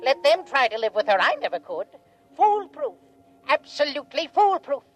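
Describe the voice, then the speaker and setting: Scheming alien creature, high voice, florid and grandiose, old radio drama, faint music behind. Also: female voice, sing-song, moderate pace. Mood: smug, gloating. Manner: theatrical, grandiose, florid phrasing. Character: scheming alien creature, smug schemer turned desperate pleader. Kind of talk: 1950s radio drama acting.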